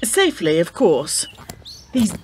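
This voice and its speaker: A woman's voice: fairly low pitch, sing-song, takes it slowly, slight background noise. A woman playing a genteel, anxious upper-class 1920s wife in a radio play, formal and pleading.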